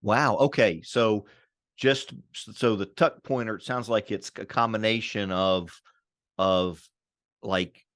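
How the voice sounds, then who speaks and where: A man's voice: fairly low pitch, fairly even intonation, slow, fairly bright tone, dry recording, studio quality. A man co-hosting a podcast, enthusiastic and curious, often restarting his sentences. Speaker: podcast co-host. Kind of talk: casual interview chat.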